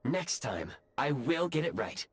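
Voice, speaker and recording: Lively, polite robotic guide. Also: male voice, fairly high pitch, a little slow. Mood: assuring. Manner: formal, courteous announcer phrasing. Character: polite, eager robotic train guide, apologetic and precise.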